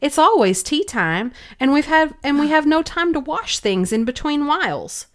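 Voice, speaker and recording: A woman's voice: sits high, very animated, moderate pace, fairly bright tone, voice pushed up. A woman voicing a mournful, argumentative mad hatter from a storybook in a dramatic way. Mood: resigned.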